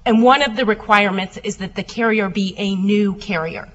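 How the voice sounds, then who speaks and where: A woman lawyer giving a formal oral argument before appeals judges, loud and steady as she answers questions. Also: medium pitch, normal speed, dull audio, a little hiss or hum. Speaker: appellate lawyer.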